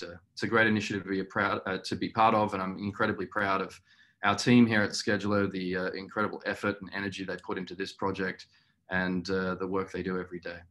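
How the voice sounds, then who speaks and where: A middle-aged man answering as a company executive in a webinar interview, speaking earnestly and in a measured, halting way. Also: sits low, fairly bright tone. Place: small indoor room, video call.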